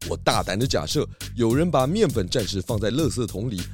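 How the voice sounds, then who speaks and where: Confident, lively male pig detective. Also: medium pitch, fairly quick, faint music behind. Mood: assured, explaining. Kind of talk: children's detective audio drama.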